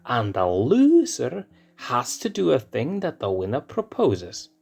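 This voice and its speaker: A man's voice: mid-range voice, wildly swooping pitch, takes it slowly, creaky, darkish tone, breathy edge, faint music behind, pitched above the performer's own voice, full character voice. A man voicing a sly, teasing young male lion cub.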